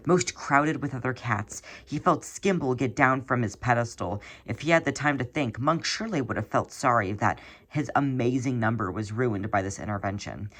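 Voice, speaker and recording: Expressive fan fiction narrator, mid-pitched voice. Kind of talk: scripted narration of a story.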